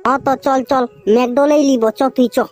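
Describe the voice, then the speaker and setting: A high, cartoonish village friend, acted out by a performer nags and coaxes in broad Purulia dialect. The character is bossy and boastful, with exclamatory comic delivery over faint music. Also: fairly even intonation, quick pace, lightly acted. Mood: coaxing. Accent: Purulia, West Bengal.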